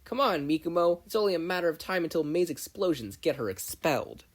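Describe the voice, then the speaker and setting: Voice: mid-range voice, very animated, a little fast, voice pushed up. A performer voicing a snarky, dismissive teenage girl inventor, needling a rival in a story reading. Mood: snide. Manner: needling, unimpressed.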